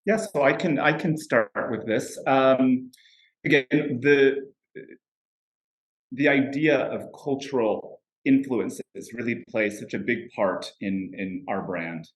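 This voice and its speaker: A man speaking as a fragrance brand executive on a panel, measured and pausing with fillers. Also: mid-range voice, roomy sound, low-quality recording. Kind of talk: panel discussion answer.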